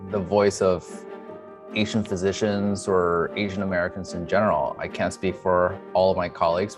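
A young man speaking as an interview guest, telling a personal story in an earnest, careful, measured and reflective way. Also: sits low. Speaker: emergency physician, interview guest. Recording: music under the voice. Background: instrumental music.

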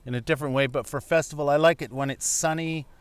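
Middle-aged male podcast guest, digressive casual talker, slightly high-pitched, clean smooth tone. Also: fairly even intonation, fast, no room sound. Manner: rambling, digressive. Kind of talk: casual chat.

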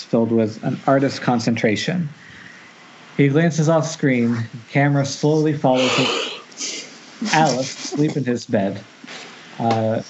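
A man narrating screenplay stage directions at an amateur table read, now and then stumbling and rereading words. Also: medium pitch. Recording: muffled internet call, faint noise.

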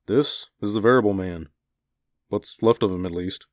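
A man voicing a confident, brisk military pilot in an audiobook story. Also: lowish voice, no room sound, clean studio sound, lightly acted. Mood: matter-of-fact. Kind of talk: audiobook character dialogue.

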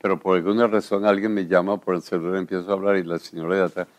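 An older man speaks as a pastor, his voice sitting very low. He talks earnestly and slows on key words. The delivery is calm and pastoral. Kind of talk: church radio conversation.

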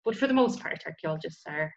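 Female archaeologist as interview guest, lower voice, explanatory and conversational, slightly dull lo-fi sound. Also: expressive, fast talker.

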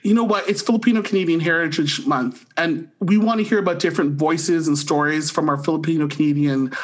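A man's voice: a little high, brisk. A man co-hosting a podcast, giving an enthusiastic, gushing review in a chatty style.

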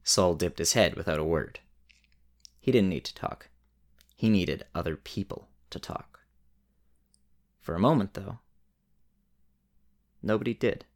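A man narrating a scripted story in a measured way with dramatic pauses. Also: deep voice, lively intonation.

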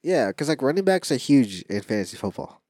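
A man's voice: medium pitch, very animated, brisk, a little mellow, dry recording, studio quality. A man co-hosting a casual sports podcast, his voice stuffy from allergies, rambling and joking with frequent restarts. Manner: rambling, jokey, restarts often. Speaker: podcast co-host.

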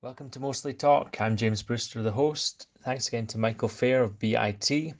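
A man hosting a podcast interview at an easygoing pace, with a gravelly, creaky edge to his voice. He keeps the talk going with short, relaxed agreeing replies. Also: medium pitch, normal speed, faint music behind. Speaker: podcast host.

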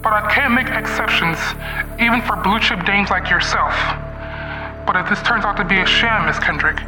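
A shady, boastful dealer in a radio mystery, acted by a man, with a high voice full of slangy wisecracks. He sounds domineering, in a roomy space with music underneath. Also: normal speed, natural voice. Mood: boastful, wary. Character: shady, domineering, fast-talking male instrument dealer. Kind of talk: old-time radio mystery acting.

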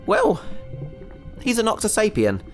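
A naive cheery sci-fi dude stuck in a tank, chatty and breezy, up and down a lot, faint music behind. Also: male voice, sits high, wildly swooping pitch, unhurried, exaggerated voice. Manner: naive, breezy, rambling and self-justifying. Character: hapless cheerful young man trapped in alien tank. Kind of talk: sci-fi audio drama acting.

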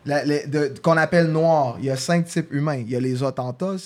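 A man's voice: fairly high pitch, brisk. A man from Congo, explaining his view in a casual panel discussion, professorial, dropping in English slang. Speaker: podcast panellist.

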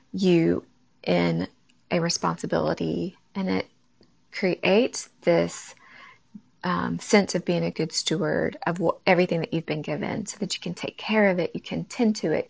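A woman speaks with a raspy early-morning voice that is heavy with gravelly fry, and her pitch sits on the lower side. She hosts a faith talk, addressing her listeners in a casual, direct way. The recording sounds dull and lo-fi. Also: no echo. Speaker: podcast host.

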